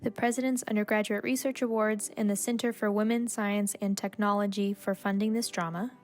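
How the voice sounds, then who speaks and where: A woman's voice: mid-range voice, fairly even intonation, a little fast, faint music behind. A woman reading scripted radio drama credits as an announcer. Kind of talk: scripted narration.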